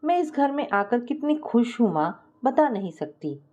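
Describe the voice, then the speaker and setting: A woman's voice: on the high side, sing-song, unhurried, mellow, muffled recording. A woman storyteller voicing an affectionate, demanding young daughter-in-law with a coaxing, pleading manner. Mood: happy, affectionate.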